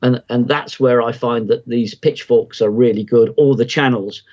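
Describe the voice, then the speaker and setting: A middle-aged man speaks as a podcast guest, talking in a reflective, meandering way and often restarting his sentences. His voice sits at an ordinary pitch for a man. The audio is a little dull and lo-fi. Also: fairly even intonation, moderate pace, darkish tone. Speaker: guest market analyst. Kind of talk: podcast interview, explaining.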